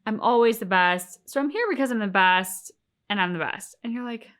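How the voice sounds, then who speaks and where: A woman's voice: medium pitch, moderate pace, a bit bright. A woman co-hosting a reaction show, giving casual, opinionated commentary on a game.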